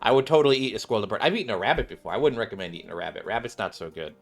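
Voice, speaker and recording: A game streamer talking casually to viewers. The delivery rambles and jokes along in a mid-pitched voice. Soft music sits underneath. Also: racing. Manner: rambling, joking. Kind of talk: casual live commentary and story reading.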